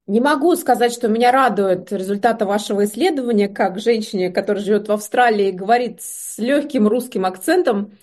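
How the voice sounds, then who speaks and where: A woman interviewer asking questions in a measured, composed way. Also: medium pitch, a little mellow.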